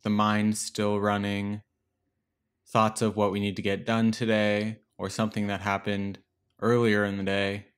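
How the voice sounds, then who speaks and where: Guy with a lowish voice doing guided meditation, gentle and unhurried, leaves long gaps. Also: completely flat delivery, clean tone.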